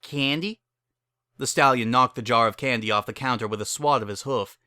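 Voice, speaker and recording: A man's voice: medium pitch, fairly even intonation, fast talker, sharp tone, smooth, no echo, studio quality. A man reading a scripted story aloud as a dramatic narrator.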